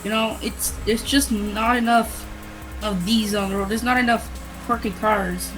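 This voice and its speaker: A woman's voice: medium pitch, steady tone, a little slow, over music, poor audio. A young woman co-hosting a casual car chat, giving chatty opinions. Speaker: co-host.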